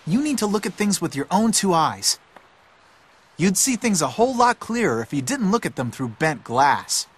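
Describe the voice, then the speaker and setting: A man's voice: high-pitched, sing-song, normal speed, breathy edge, heavily acted. A man voicing a streetwise, cynical boy in a video game, blunt and matter-of-fact, like a bossy older friend. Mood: knowing, blunt. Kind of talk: video game cutscene voice acting.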